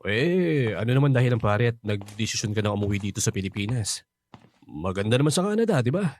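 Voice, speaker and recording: A man's voice: fairly low pitch, sing-song, fairly quick, darkish tone, a little hiss or hum. A Filipino man playing a hearty, teasing widowed father chatting with an old friend in a radio drama. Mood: welcoming.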